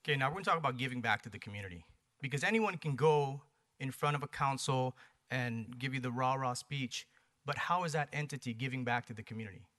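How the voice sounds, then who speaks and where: An American man making his case at a public meeting. His voice sits at a middle pitch with a bright, forceful edge, and he argues in short, emphatic phrases, often putting questions to his listeners. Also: fast talker. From Hawaii, United States. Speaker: presenter for a paddling community. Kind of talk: persuasive public presentation. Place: planning commission meeting.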